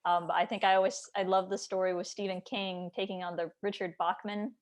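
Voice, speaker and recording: A woman with a mid-pitched voice talks in a casual, chatty way. She sounds like a writer hosting an informal book discussion, thinking aloud and peppering her sentences with "like". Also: level tone, brisk. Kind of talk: casual conversational book chat.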